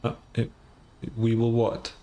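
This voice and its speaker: A man's voice: mid-range voice, monotone, takes it slowly, warm tone, slight background noise. A man streaming a game playthrough, reacting casually and irreverently, swearing and talking back to the characters. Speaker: gaming streamer. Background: game music.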